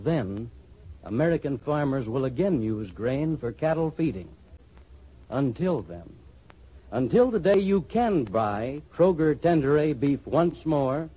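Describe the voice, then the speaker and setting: Old time radio ad guy, kinda husky and dark, swings up and down, polished emphatic pitch. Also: low-quality recording. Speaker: commercial announcer. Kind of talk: 1940s radio advertising read.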